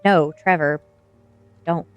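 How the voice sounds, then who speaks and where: A woman's voice: fairly low pitch, expressive, fast talker, lo-fi sound. A woman voicing a bold, spirited teenage goth girl with a cheeky, defiant manner in a storybook reading. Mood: upset. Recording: music behind the voice.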